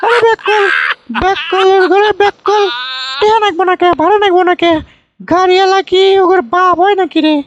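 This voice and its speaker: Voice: extremely high pitch, steady tone, normal speed, lo-fi sound, a little hiss or hum. A performer voicing a bossy, foolish middle-aged village aunt in a comic cartoon, folksy and repetitive, with a northern Bangladeshi Bogra accent. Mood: scolding. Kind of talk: comic cartoon dialogue, rambling storytelling.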